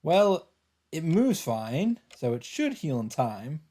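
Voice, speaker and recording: A man's voice: a little high, very animated, slow, mellow. A man hosting a let's play, reading visual novel narration aloud with casual asides. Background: game music.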